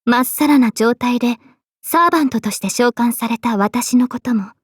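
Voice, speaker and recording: A woman voicing a gentle, dignified young female knight in a game, speaking politely and with composure. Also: a little high, moderate pace, husky, no room sound, studio quality. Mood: calm, explaining. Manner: polite, composed, formal speech. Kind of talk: scripted game character voice lines.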